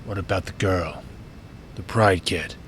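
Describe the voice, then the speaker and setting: Henchman, male actor: deep, slow voice, dutiful and hesitant, audio drama, faint background noise. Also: fairly bright tone. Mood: deferential, uneasy. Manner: deferential, hesitant.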